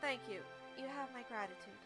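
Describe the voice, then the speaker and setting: A woman's voice: a little high, a little fast, over music, close to an everyday voice. A woman voicing a young runaway princess in a game, speaking formally and earnestly.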